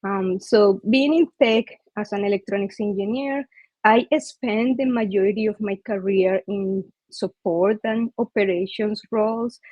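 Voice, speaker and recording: A woman's voice: mid-range voice, a little mellow, dry recording. Woman with a Colombian accent presenting. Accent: Colombian Spanish. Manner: friendly, lots of fillers. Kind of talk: online user group presentation. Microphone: video call. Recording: dull, dry video call audio.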